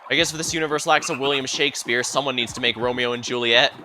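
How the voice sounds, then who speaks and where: A man's voice: medium pitch, fairly even intonation, quick pace, sharp tone, slight background noise. A man giving live playthrough commentary on a video game, musing hesitantly and wandering into asides as he streams.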